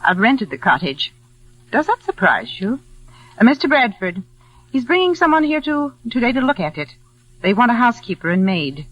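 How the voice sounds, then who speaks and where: A stern, lonely older widow of a radio play, speaks in a clipped, brooding way. Her voice is tense and sharp, with animated swings in pitch. The old recording is muffled. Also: moderate pace. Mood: brusque. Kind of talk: 1940s radio acting.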